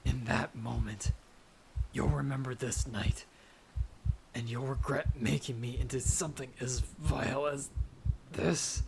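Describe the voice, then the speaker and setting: A desperate young male traveler acted by a man in a dramatic audio roleplay. He speaks slowly and breathlessly, with a creaky edge and a defiant intensity, over clearly audible noise. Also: medium pitch, steady tone. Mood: agonized, vengeful. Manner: breathless, halting, intense delivery. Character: frightened, defiant young male traveler turned half-vampire. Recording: noisy track with storm ambience.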